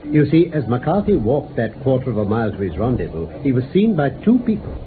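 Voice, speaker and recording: Gentleman detective , male actor: British, confident, methodical, authoritative, very varied intonation, noisy vintage radio play recording. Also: mid-range voice, big pitch swings, a little fast, narrow, tinny audio, natural voice. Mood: explaining. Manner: precise, authoritative, expository. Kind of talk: radio drama acting.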